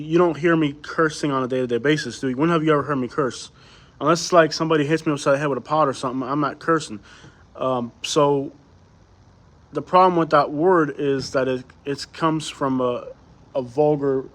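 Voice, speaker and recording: Cuban-born guy livestreaming, native spanish speaker, slightly high voice, just chatting and throwing out rhetorical questions. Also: fairly quick. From Cuba. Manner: conversational, asks rhetorical questions. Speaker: livestream host. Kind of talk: casual chat, answering live viewer comments.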